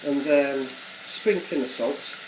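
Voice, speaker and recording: A man's voice: medium pitch, unhurried. A British man giving a casual, unscripted home cooking demonstration, rambling along with offhand, self-deprecating asides. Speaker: home cook presenting a recipe video. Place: home kitchen. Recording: echoey kitchen with frying, clattering noise.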